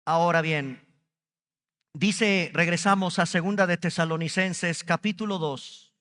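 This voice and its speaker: A Mexican man preaching to his congregation with a high, bright and smooth voice. He talks slowly and leans hard on key words. His voice rings through a church hall with a long reverb. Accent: Mexican Spanish. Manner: emphatic preaching, stretches key words, addresses congregation directly. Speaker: pastor. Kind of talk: sermon. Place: church service.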